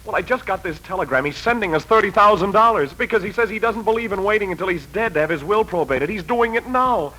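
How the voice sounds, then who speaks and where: A man's voice: high-pitched, expressive, quick pace, few pauses, sharp tone, exaggerated voice, low-quality recording. An actor playing an intense, emotional young husband and father in dramatic 1940s radio style. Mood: elated. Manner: emotional, dramatic, swooping delivery. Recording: old 1940s radio recording.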